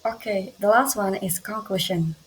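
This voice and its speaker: A young woman with an Indonesian accent, reading her class presentation aloud slowly and carefully, with small grammar slips. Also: mid-range voice, slight background noise, low-quality recording. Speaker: student presenter.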